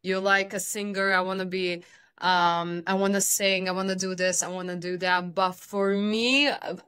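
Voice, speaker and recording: Bright-voiced woman in her thirties. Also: mid-range voice, moderate pace, clean tone, no echo. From Bosnia. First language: Serbian. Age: 30s. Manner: animated, expressive storytelling. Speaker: guest. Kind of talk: casual interview chat.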